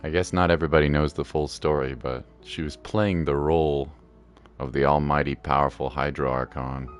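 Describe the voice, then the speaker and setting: A man's voice: a bass voice, steady tone, moderate pace. A man casually reacting to a video game as he streams, informal and sympathetic, with chatty asides. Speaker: gaming streamer. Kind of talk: casual reactions while playing. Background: game music.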